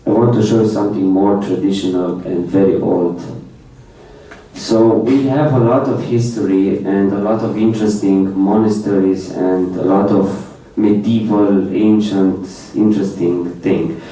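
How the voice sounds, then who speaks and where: A man's voice: fairly low pitch, fairly even intonation, a little slow, mellow. Young Romanian man presenting in a hall. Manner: plain speech in non-native English with many repetitions, light laughs. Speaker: foreign student presenter. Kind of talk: informal slide presentation. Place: university lecture room. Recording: echoey lecture room, mic at a distance.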